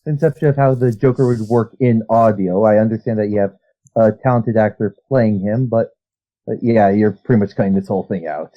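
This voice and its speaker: A man's voice: fairly low pitch, brisk, mellow. A man speaking as a guest writer in a casual interview, rambling and analytical with frequent restarts. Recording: dull lo-fi sound.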